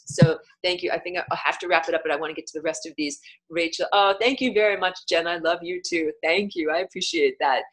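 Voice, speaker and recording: Woman, 30s, webcam class host, lowish voice, animated and expressive, reads chat aloud. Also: fairly quick. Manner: animated, gestures a lot, sudden loud bursts. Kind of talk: live-streamed lesson, reading viewer comments. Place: small indoor room with a patterned wall hanging.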